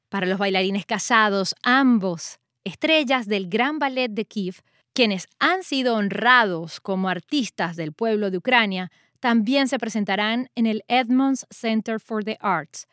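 A woman radio presenter reading a news segment in Spanish with a measured delivery. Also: mid-range voice, fairly bright tone, dry recording, studio quality.

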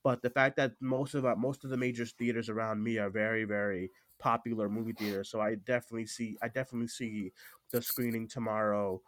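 A man with a stuffy cold hosts a casual podcast, talking in a halting way that often doubles back on phrases. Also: fairly low pitch, little pitch movement, no echo. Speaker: podcast host.

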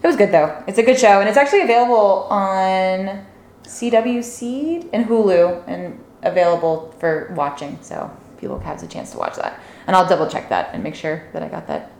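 A woman's voice: mid-range voice, normal speed, darkish tone. A woman hosting a podcast, chatting casually about films and given to laughing as she talks. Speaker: podcast host. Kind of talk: casual conversational movie review. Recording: echoey room.

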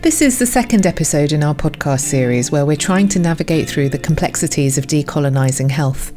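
A woman's voice: low voice, expressive, brisk, mellow, faint music behind. A woman hosting a podcast panel, introducing the discussion and inviting guests to speak. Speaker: podcast host, clinical editor.

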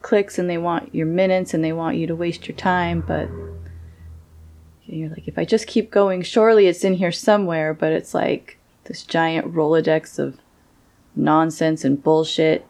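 A woman co-hosting a podcast in casual chat, wry and animated, laughing often as she tells stories, her voice carrying clearly even from back from the microphone. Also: low voice, moderate pace. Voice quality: voice carries clearly from a distance. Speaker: podcast co-host.

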